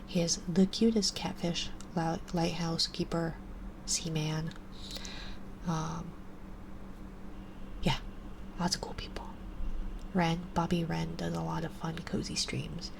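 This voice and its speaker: Young woman w a stuffy nose, low fry-ish voice, half whispering into a binaural mic, hiss behind. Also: takes it slowly, long pauses. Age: 20s. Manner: soft and relaxed, drifting into whispers. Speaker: ASMR streamer. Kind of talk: casual chat to a live stream.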